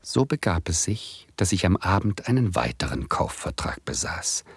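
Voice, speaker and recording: An actor playing an excitable, boastful small-time speculator who tells his own story in a radio play, husky and theatrical. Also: deep voice, normal speed, no room sound, heavily acted. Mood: wry narration. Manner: theatrical, self-important. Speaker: narrator and lead actor. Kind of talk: 1970s German radio play, first-person narration and dialogue.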